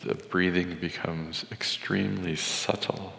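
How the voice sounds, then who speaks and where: A man leading a guided meditation in a measured, contemplative way, with deliberate pauses. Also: deep voice, little pitch movement, creaky, sharp tone. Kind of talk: guided meditation with Sanskrit chanting. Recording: echoey hall with long reverb.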